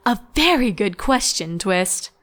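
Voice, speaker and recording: A woman voicing a kindly, regal mare schoolteacher in a storybook reading, patient and composed, with a gentle, instructive manner. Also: medium pitch, lively intonation, a little slow. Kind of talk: storybook character voice.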